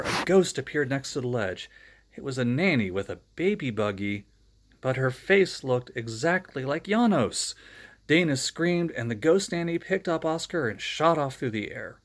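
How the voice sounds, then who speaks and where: A man reading a children's storybook aloud, breaking off for friendly, joking asides to young listeners. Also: mid-range voice. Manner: friendly, chatty asides between read passages.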